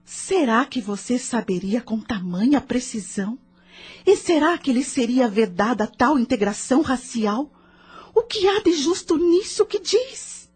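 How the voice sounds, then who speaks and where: An actress with a Brazilian accent playing a patient, wise spiritual counselor who speaks in a measured, didactic, questioning way in an audio novel. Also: female voice, a little high, big pitch swings, a little mellow, slightly rough, soft background music, heavily acted. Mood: probing. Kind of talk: dramatized audio novel dialogue.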